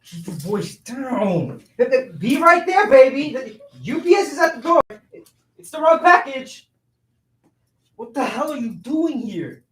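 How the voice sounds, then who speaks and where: A man's voice: very high voice, sing-song, a little slow, fairly bright tone, exaggerated voice. A man acting a flustered, evasive young womanizer with a stammering, exasperated delivery in a staged play reading. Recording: roomy echo, distant mic.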